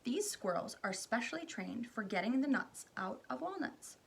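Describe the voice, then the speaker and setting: A woman voicing an eccentric, excited man who owns a candy factory, calling out in a high, loud voice. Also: normal speed, creaky, slight background noise. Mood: gleeful.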